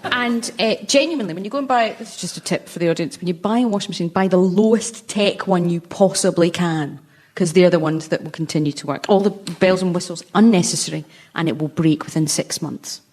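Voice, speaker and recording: A woman's voice: fairly low pitch, a little fast, darkish tone. A woman comedian bantering on a comedy panel show in front of a live audience. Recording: live audience, noisy crowd behind. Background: studio audience laughter.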